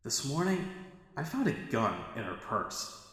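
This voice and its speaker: A man's voice: medium pitch, very animated, a little slow, a bit bright. A man playing a worried, mild-mannered boyfriend in an audio drama, speaking in a hesitant, casual way. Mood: uneasy. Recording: roomy, long echoing reverb.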